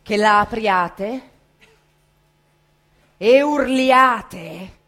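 A woman reciting a dramatic theatrical monologue in an impassioned, emphatic way, with deliberate pauses. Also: mid-range voice, a bit bright.

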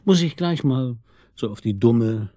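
An actor playing a wry, probing police detective in a radio drama, with a husky voice and a dry, colloquial manner. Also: male voice, medium pitch, animated, measured pace, dark tone, dry recording, lightly acted. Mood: musing. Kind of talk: naturalistic German radio drama acting.

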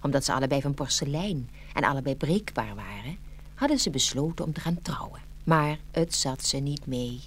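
A woman's voice: a bass voice, lively intonation, normal speed, a little hiss or hum. A woman narrating a scripted fairy tale expressively, as a storyteller.

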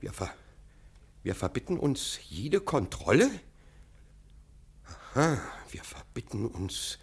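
Jittery servile underling, wildly swooping voice. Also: male voice, mid-range voice, big pitch swings, slow, slightly rough, full character voice. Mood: muddled, eager. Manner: stuttering, obsequious, muddled. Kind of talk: classic German radio comedy acting.

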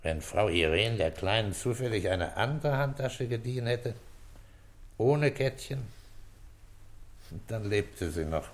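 A low-voiced, shrewd police inspector. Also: male voice, lively intonation. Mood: probing. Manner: deliberate, authoritative, explaining step by step. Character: calm, shrewd senior police inspector, weary and paternal. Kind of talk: old German radio crime drama acting.

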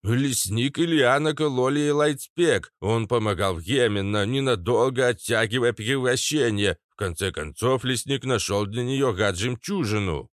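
Мужчина играет скрипучего старого лекаря. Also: male voice, medium pitch, moderate pace, few pauses, dry recording, clean studio sound, pitched above the performer's own voice, close to an everyday voice. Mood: explaining. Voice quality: creaky, scratchy. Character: old male healer, grumbling know-it-all explainer.

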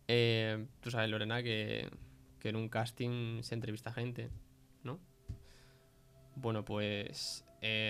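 Young man from Spain, fairly flat delivery. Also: mid-range voice, creaky. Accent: Spain Spanish. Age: young adult. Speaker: podcast guest, film producer. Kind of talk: informal testimony in conversation.

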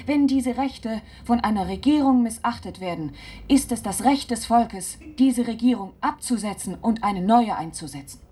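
An actress playing a resolute revolutionary delivering a stern, declamatory proclamation in a German radio play. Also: female voice, on the high side, animated, moderate pace, mellow, slight background noise, lightly acted. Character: resolute female revolutionary reading an ultimatum.

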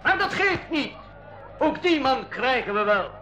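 An actor playing a calm, cruel island leader in a school radio drama, speaking formally and with cold courtesy. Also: male voice, very high voice, faint music behind, heavily acted. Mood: proclaiming, exultant. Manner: formal, unhurried, coldly courteous. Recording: dull, muffled radio recording.

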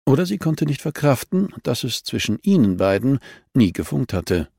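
A man's voice: medium pitch, sing-song, moderate pace, gravelly, darkish tone, slightly rough, no echo, studio quality. A man narrating a crime novel as a scripted audiobook reading.

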